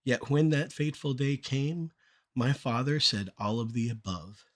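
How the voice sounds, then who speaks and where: A middle-aged man performing rhymed spoken-word poetry in a measured, deliberate delivery with short phrases. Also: medium pitch.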